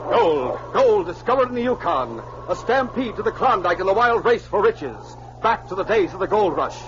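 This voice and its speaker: Animated high-pitched male radio announcer. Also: moderate pace, noisy background. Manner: dramatic, punchy, hearty delivery. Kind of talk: old-time radio announcing and sponsor pitch. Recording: dull lo-fi vintage radio recording.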